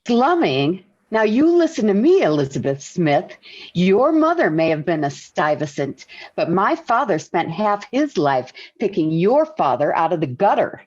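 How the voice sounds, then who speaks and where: A woman's voice: fairly low pitch, sing-song, measured pace, a little mellow. Loyal sitcom wife, 40s radio, takes her time, very up n down, muffled old recording. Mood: indignant. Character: patient, affectionate middle-aged wife and mother, loyal when provoked. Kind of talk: 1940s radio sitcom acting.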